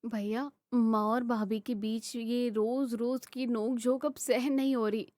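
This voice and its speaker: A woman playing a concerned young peacemaking sister, speaking in a measured way in an animated family drama. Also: fairly high pitch, no echo, clean studio sound, close to an everyday voice. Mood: worried. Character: worried young sister trying to make peace.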